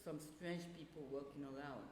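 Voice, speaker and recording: A woman telling casual anecdotes in an animated way, laughing often and acting out what people said. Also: deep voice, moderate pace. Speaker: guest, teacher of small children. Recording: roomy echoing space with faint noise.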